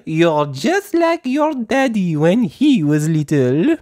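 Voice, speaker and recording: A woman voicing a kindly female gazelle schoolteacher in a storybook, with patient, drawn-out teacherly phrasing. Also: on the low side, very animated, dark tone, pitched above the performer's own voice. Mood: patient, instructive.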